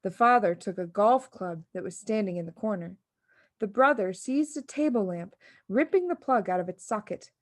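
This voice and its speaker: A woman reading a children's story as an expressive audiobook narrator. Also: medium pitch, normal speed, no room sound, clean studio sound. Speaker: audiobook reader.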